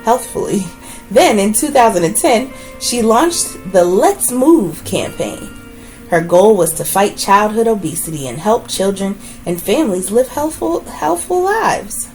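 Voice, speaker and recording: A woman hosts a children's book read-aloud in a mid-pitched, very animated voice. She phrases deliberately and leans on key words, adding short asides as she goes. Faint music plays behind her. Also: poor audio. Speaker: read-aloud host reading a children's biography.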